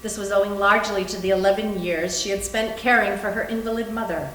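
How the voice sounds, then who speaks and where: A woman's voice: mid-range voice, steady tone, fast talker, a bit bright. A woman giving a scripted dramatic reading of a novel in a readers theater. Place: indoor room, live library reading. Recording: echoey room with faint hum.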